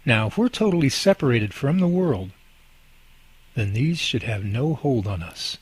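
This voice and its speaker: A man's voice: medium pitch, expressive, measured pace, breathy edge. A man acting as a goofy, teasing and earnest young husband in a radio drama, with an exaggerated delivery. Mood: earnest, persuasive.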